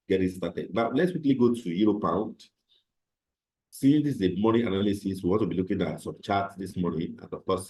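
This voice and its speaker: A man teaching a live online trading class, slightly hoarse from a cold, explaining step by step with fillers over a computer microphone. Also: low-pitched, darkish tone, poor audio. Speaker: trading instructor.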